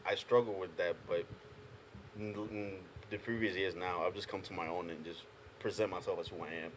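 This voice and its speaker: A young man from Ghana, a panel guest telling animated stories in a casual group chat, often laughing as he talks. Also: low voice, brisk, background noise. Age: young adult. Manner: animated storyteller, laughs through anecdotes.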